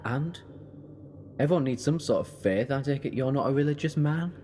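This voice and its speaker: A man playing a quick-witted, sardonic time-travelling adventurer in an audio drama, speaking in a wry, emphatic way. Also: medium pitch, mellow, slight background noise, lightly acted. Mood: wry, sceptical. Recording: reverberant, music underneath.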